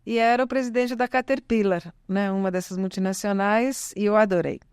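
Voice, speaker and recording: A woman's voice: mid-range voice, fairly even intonation, a little fast, a little mellow, clean tone, dry recording, clean studio sound. An Italian-born woman whose first language is Italian, talking in a chatty way as an interview guest, with frequent tag questions and restarts. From Italy. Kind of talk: casual conversational interview.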